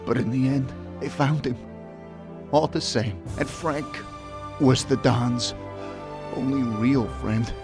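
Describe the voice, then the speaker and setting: A bitter, taunting dying gangster. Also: male voice, medium pitch, slow pace, pauses often, music in the background. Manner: taunting, pained groans. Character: bitter dying male gangster, former friend. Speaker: game character.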